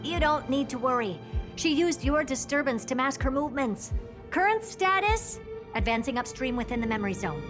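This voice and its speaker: An actress voicing a composed woman who reports in a brisk, matter-of-fact way. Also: sits high, animated, over music.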